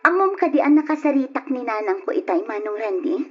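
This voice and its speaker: Frank, high-voiced Filipino younger sister. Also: female voice, very animated, fairly quick, soft background music. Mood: confiding. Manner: earnest, confiding. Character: younger sister, frank, sympathetic tattler to her big brother. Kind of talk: radio drama acting. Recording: dull, lo-fi old radio drama.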